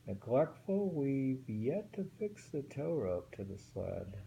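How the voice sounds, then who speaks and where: A man's voice: mid-range voice, very animated, takes it slowly, gravelly, warm tone, a little hiss or hum, low-quality recording. A middle-aged man reading translated poems aloud and musing about them in a casual, thinking-aloud way, often rereading lines. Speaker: poetry reader.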